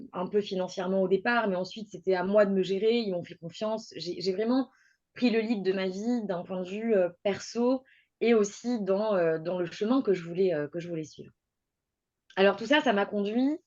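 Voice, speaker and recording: A woman's voice: medium pitch, darkish tone. A woman in her thirties from Toulouse in southern France, telling her own career story in a personal monologue with many hesitations, fillers and restarts. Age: 30s. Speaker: podcast guest speaker. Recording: dull lo-fi recording.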